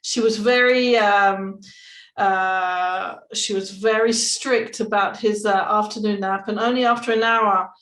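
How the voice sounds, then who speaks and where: A woman gives a historical talk over a video call, halting often with "um"s and repeated words. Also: medium pitch, steady tone, fairly bright tone. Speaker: guest speaker. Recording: echoey room on a video call.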